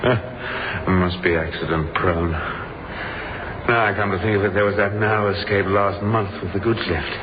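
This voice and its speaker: An actor playing a trapped, freezing businessman in a radio thriller, talking to himself in a halting, weakening, despairing way. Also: low voice, fairly even intonation. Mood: grim musing. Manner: halting, brooding monologue broken by desperate outbursts. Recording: muffled lo-fi audio, clearly noisy.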